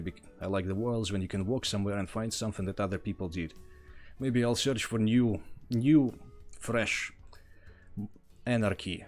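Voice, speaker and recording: A young man from Russia with a Russian accent, chatting casually to viewers while playing a game on a livestream. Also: on the low side. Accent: Russian, mixed with other influences. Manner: relaxed, thinks aloud while playing and thanks viewers. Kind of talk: casual gameplay livestream chat. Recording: faint music behind.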